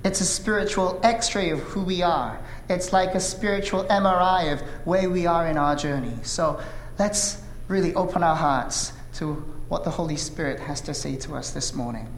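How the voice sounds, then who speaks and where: Earnest Korean-born pastor in echoey hall. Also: male voice, fairly high pitch. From South Korea. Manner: earnest, measured, frequent "uh" fillers. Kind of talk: sermon with opening prayer. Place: church sanctuary. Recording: echoey hall reverb, faint room noise.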